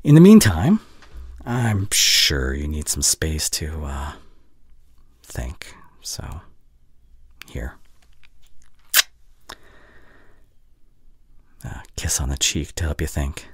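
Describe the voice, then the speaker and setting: A young man playing a caring, heartbroken boyfriend in an intimate audio roleplay, speaking haltingly and earnestly, often dropping to near whisper. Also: rumbling low, very animated, husky, dry recording, studio quality, exaggerated voice. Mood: soft, affectionate. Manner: halting, earnest, pausing mid-sentence. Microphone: close studio condenser mic.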